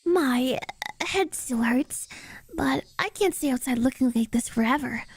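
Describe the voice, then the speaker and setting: A woman voicing a distressed but brave eleven-year-old girl, with a fragile, halting delivery. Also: high-pitched, breathy edge, no room sound. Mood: weary but brave.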